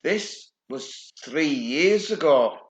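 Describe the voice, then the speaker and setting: A man's voice: fairly high pitch, animated, extremely slow, roomy sound. A man reading news reports aloud and breaking in with dry, sardonic asides and casual swearing. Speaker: channel host.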